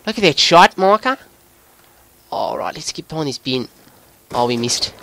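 A man putting on an Australian accent as an excitable, sweary bloke giving live video game commentary in a voice pushed higher than usual. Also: moderate pace, creaky, exaggerated voice. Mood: focused, chatty. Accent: put-on Australian. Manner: excitable, sweary, breaking into giggles at himself. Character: excitable Australian bloke gamer, jokey larrikin.

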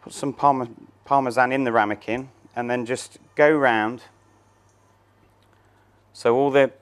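A man's voice: medium pitch, fairly even intonation, a little slow. A man in his thirties, a chef, talking through a cooking demonstration step by step in a large showroom kitchen. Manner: plain, step-by-step explaining while working.

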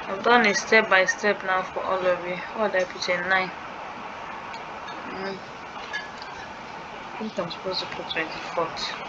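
A young woman thinking aloud as she fills in an online form for a tutorial, hesitant and musing. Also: lowish voice. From Nigeria. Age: young adult. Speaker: scholarship tutorial creator. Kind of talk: screen-recorded walkthrough. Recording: dull lo-fi sound with clear background noise.

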